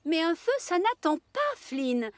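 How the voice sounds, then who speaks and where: A woman voicing a modest, kind and capable fire engine in a French cartoon dub, with a gentle, reassuring manner. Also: extremely high pitch, very animated, measured pace, dry recording, heavily acted. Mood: matter-of-fact.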